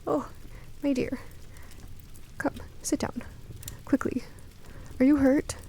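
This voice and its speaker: A fretful, motherly older lady played by an actress in a spooky radio play, protective and openly emotional. Her voice sits high and cracks with creak. Her delivery is theatrical, over faint background noise. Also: little pitch movement, takes it slowly, warm tone. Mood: tender, concerned. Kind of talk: radio drama dialogue.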